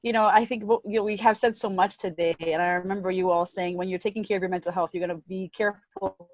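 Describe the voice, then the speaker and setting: A woman's voice: medium pitch, fairly even intonation, quick pace, darkish tone, dry recording, low-quality recording. A woman who works as an advocate and speaker, talking on a panel in an earnest, reflective way and often repeating herself. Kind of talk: panel discussion.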